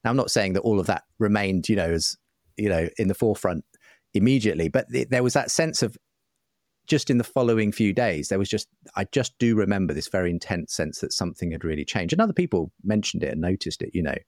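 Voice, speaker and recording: Low-voiced man, reflective and searching. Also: lively intonation, fast talker, a little mellow, no echo, studio quality. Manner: hesitant, self-qualifying, searching for words. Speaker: interview guest, writer and broadcaster. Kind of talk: reflective personal conversation.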